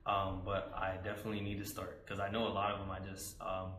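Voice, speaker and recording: A young man in a casual interview, talking about his poetry in a relaxed, rambling way with many fillers, his voice slightly hoarse. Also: deep voice, fairly quick, roomy sound. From United States. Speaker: spoken word poet guest.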